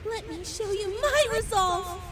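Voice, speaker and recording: A woman's voice: very high-pitched, takes it slowly, noisy background. A woman voicing a defiant, battle-worn girl with magic powers in an anime-style audio drama, dramatic and stubborn, a little breathless. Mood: fierce battle cry.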